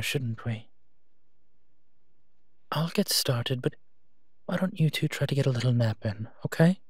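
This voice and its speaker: A gentle monster guardian played by a man talks slowly and softly in a close, dry recording. He is a protective, playfully teasing partner in an intimate audio roleplay, speaking straight to the listener at a mid-range male pitch. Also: husky, no echo. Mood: warm, caring. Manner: soft-spoken, unhurried, playfully teasing.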